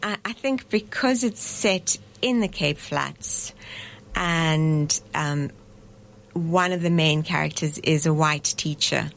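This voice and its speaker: A woman's voice: low voice, slow, creaky, a little hiss or hum. A middle-aged woman, a festival director, speaking thoughtfully in a radio studio interview, with frequent fillers and restarts. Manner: thoughtful, hesitant with fillers and restarts, laughs easily.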